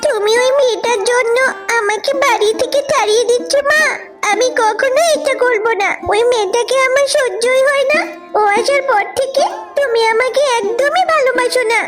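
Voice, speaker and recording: A jealous, bossy little girl from a cartoon acted out by a performer, squeaky high and petulant. Music plays clearly behind the demanding voice. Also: moderate pace. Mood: sulky anger. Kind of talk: children's cartoon voice acting.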